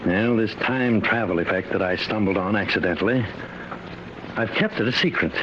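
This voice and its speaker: An actor playing a worried, fatherly professor, speaking in a measured, earnest manner in 1950s radio drama style. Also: fairly low pitch, lightly acted. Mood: earnest, confiding. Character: grave, worried professor inventing time travel, fatherly. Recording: dull, noisy old radio recording.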